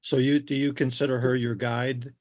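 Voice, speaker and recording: A man's voice: medium pitch, little pitch movement, normal speed, a little mellow. A man hosting an interview, asking questions in a friendly, casual way and laughing easily. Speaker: interview host.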